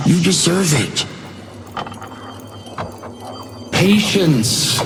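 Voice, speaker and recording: A man's voice: fairly high pitch, vocal fry, exaggerated voice. A man voicing an uncanny, suavely menacing hotel doorman in a video game, theatrical and unctuously polite, with insinuating courtesy. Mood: silky, patronizing. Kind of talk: scripted video game character lines. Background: music.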